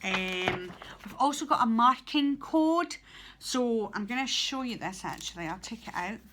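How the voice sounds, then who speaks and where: A woman's voice: fairly high pitch, animated, unhurried, fairly bright tone. A young Scottish woman, a student teacher, giving casual advice in a vlog with lots of ums and restarts. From Scotland. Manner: chatty, hesitant, many ums and restarts.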